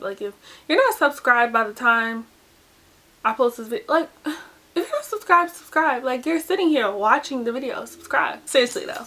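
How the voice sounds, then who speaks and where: A woman's voice: a little high, pushed and bright. A young woman chatting casually through a makeup tutorial at home, close to the camera, with a dry, groggy throat and lots of "like" and "um". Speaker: beauty vlogger.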